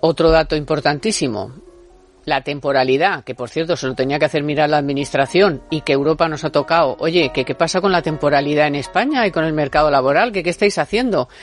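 A woman's voice: low-pitched, quick pace, soft background music. A woman hosting a radio talk show from a studio, talking in an emphatic, colloquial and sarcastic way.

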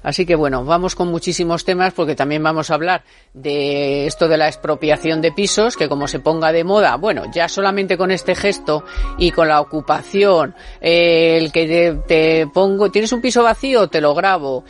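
A woman's voice: deep voice, moderate pace, faint music behind. A woman hosting a radio talk show from a studio, talking in an emphatic, colloquial and sarcastic way.

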